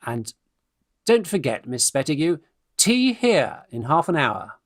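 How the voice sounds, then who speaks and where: Actor as animated, blustering young British gentleman. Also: a little high, unhurried. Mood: awkward, insistent. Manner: stammering, blustering, exclamatory. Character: flustered young Victorian gentleman, eager suitor, bossy with friends. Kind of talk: stage farce acting for radio play.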